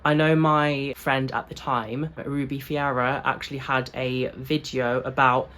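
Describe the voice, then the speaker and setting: A woman's voice: rumbling low, flat delivery, a little slow, a bit bright. A young woman from England, chatting loosely to camera in a vlog, full of fillers and asides. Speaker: vlogger. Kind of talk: casual chat to camera.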